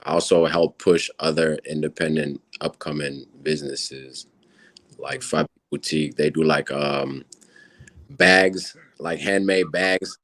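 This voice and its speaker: Senegalese man in his 30s, Wolof native, deep and dry; easygoing guest, rambling. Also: no echo. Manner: relaxed, rambling with many fillers. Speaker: guest musician. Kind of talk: casual interview chat.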